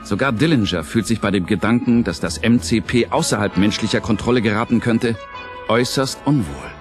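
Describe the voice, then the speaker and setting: A man's voice: lowish voice, brisk. A man narrating a radio play from a script in a theatrical, suspenseful, articulate manner. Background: music.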